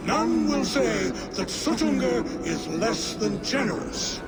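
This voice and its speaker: Proud giant lord, game drama. Commanding, declamatory, very high-pitched, loud music underneath. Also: male voice, very animated, slow, full character voice. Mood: imperious. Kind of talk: dramatic video game acting.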